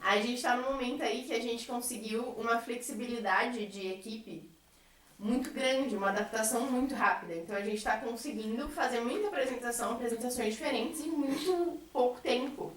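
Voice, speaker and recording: A woman's voice: on the high side, fairly quick. A woman from a theatre group chatting casually on a podcast. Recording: echoey room, distant mic.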